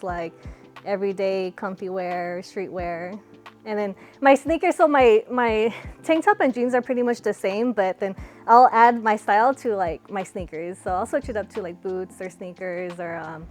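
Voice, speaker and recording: A young woman from California answering interview questions in a relaxed, chatty way, with lots of fillers. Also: medium pitch. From California, USA. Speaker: interviewee, fighter.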